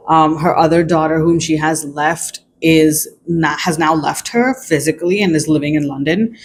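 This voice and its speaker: A young adult book reviewer, raised in China, talks through a novel's themes in a relaxed, thoughtful way. The pitch sits mid-range, and the speech is full of small hedges and restarts. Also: a little hiss or hum. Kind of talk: casual video book review.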